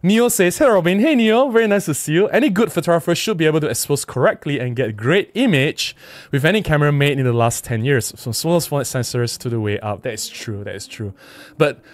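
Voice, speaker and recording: A man's voice: a little high, animated, fairly quick, no echo. Malaysian man livestreaming, dry close sound. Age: middle-aged. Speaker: livestream host. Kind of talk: casual livestream chat, reading out viewer comments and replying. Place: small indoor room at a desk. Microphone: close desk microphone with windscreen.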